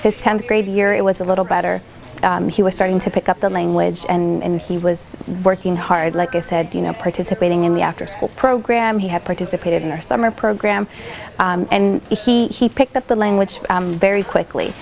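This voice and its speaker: A woman who coordinates a school program, explaining it in an interview in a measured way with fillers. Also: lowish voice, fairly even intonation, creaky, darkish tone.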